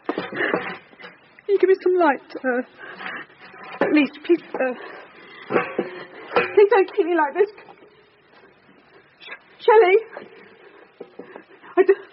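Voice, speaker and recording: Lady cop in a radio play, very expressive, halting and on edge, noisy dull recording. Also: very high voice, exaggerated voice. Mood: panicked, pleading. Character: female police detective superintendent, brisk, claustrophobic, turning panicky. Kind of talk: naturalistic radio drama acting.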